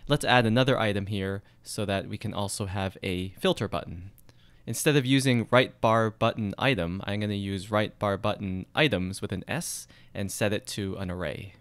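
Lowish smooth male coding instructor. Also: moderate pace, dry recording. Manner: explains while typing, steady and methodical. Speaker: programming tutorial instructor. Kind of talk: screencast coding walkthrough.

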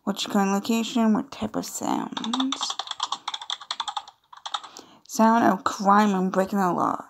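Woman playfully commentating a game, creaky voice. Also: medium pitch, slow, long pauses, noisy background. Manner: playful, chatty, amused asides. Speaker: gaming video creator. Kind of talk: casual gameplay commentary, reading on-screen dialogue aloud.

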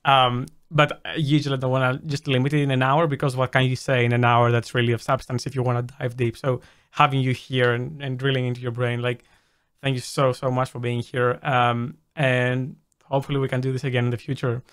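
A man's voice: medium pitch, level tone, a bit bright, smooth. A man hosting a tech podcast, speaking in a friendly, appreciative way as he wraps up the talk with his guest. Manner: friendly, thankful, quick. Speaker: podcast host. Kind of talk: casual interview chat.

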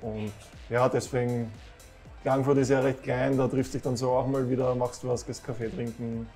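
A man in his thirties with an Austrian accent, a professional footballer, answering calmly and modestly. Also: mid-range voice, normal speed, faint music behind. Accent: Austrian German. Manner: calm, modest, plain-spoken. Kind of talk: relaxed interview answers. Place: football club café with shelves, indoors.

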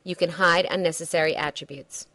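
A woman reading a scripted software demo narration in a measured, instructional way. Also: on the low side, fairly even intonation, dry recording.

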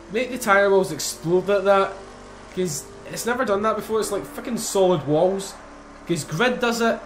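A young man with a fairly high voice, talking chattily over a driving game. He gets excitable and swears casually. Faint engine noise hums under his voice. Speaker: gaming YouTuber. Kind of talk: casual gameplay commentary.

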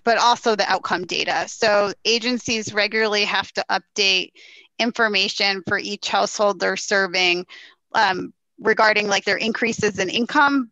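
A woman's voice: medium pitch, pushed and bright, no room sound, rough recording. A woman in her forties, a city official, formally explaining and answering questions over a headset on a video call. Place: indoor room at home or office.

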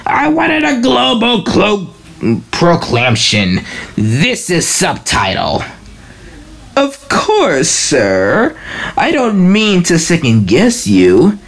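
Casting: a woman doing a prim, deferential henchman, slow, lowish voice, comic dub. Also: wildly swooping pitch, vocal fry, heavily acted.

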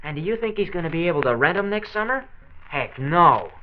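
An actor with an American accent playing an earnest, grumbling teenage high school boy in a late 1940s radio drama. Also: big pitch swings, a little fast. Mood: indignant. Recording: dull old transcription disc.

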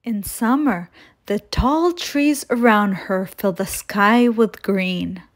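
A woman reading a children's picture book aloud in a measured, deliberate storytelling manner. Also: mid-range voice. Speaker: children's storytime reader and host.

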